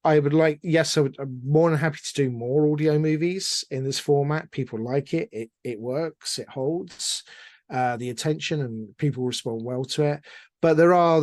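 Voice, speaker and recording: A man's voice: mid-range voice, a little mellow, no room sound. Chatty middle-aged man in dry, close sound. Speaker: interview guest, audio drama producer. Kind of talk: casual conversational interview.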